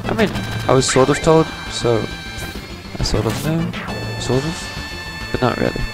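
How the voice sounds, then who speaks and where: Sarcastic, gravelly young man gaming. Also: mid-range voice, animated, a little slow. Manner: sarcastic, chatty, mutters to himself. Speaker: let's player. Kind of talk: casual gameplay commentary. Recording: game music underneath. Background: game music.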